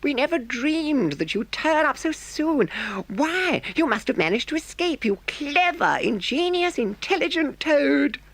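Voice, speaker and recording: A man's voice: extremely high pitch, very animated, a little slow, few pauses, a bit bright, voice pushed up, exaggerated voice. A man voicing a small, adoring mole in an audiobook, eager and full of exclamations. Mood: overjoyed. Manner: breathlessly delighted, exclamatory.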